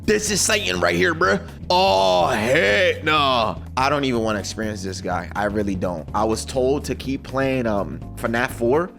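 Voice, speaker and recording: Young male streamer, excitable slangy reactions, lively mid-pitched voice, music playing behind. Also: measured pace, gravelly, few pauses. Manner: excitable, slangy, repeats words. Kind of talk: casual reaction commentary.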